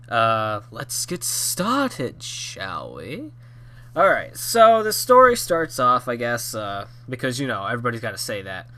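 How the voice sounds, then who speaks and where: A man of twenty telling a story from his own life in a rambling, casual way, slightly hoarse and nasal from a cold. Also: mid-range voice, sharp tone. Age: 20s. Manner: rambling with many fillers and restarts. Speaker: YouTube storyteller.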